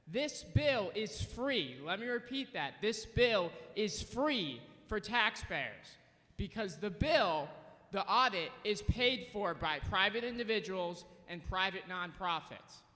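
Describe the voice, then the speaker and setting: A man's voice: high-pitched, animated, slow, sharp tone. A man, a state representative, giving insistent formal testimony for his bill at a committee hearing. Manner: insistent, emphatic, persuasive. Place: legislative committee hearing room. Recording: long hall reverb.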